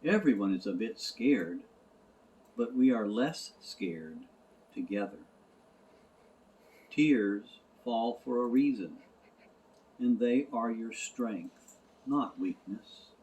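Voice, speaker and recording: Man playing a slow, wise storybook horse. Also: lowish voice, expressive, darkish tone, low-quality recording. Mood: calm, reassuring. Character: large wise male horse, calm and reassuring.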